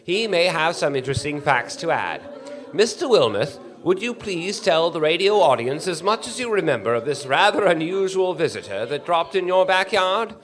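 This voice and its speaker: A man's voice: on the high side, sing-song, moderate pace, a bit bright. An actor playing an earnest, eager male radio news commentator in a 1930s radio drama, with a formal, polite announcer delivery. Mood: excited, awed. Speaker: stage actor in a radio play.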